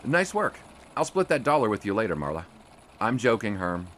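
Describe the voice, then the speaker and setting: Man as breezy wry sportswriter narrating, low voice, lively pitch, music underneath. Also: normal speed, a little hiss or hum. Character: wry young male 1920s sportswriter. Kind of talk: first-person noir-style narration.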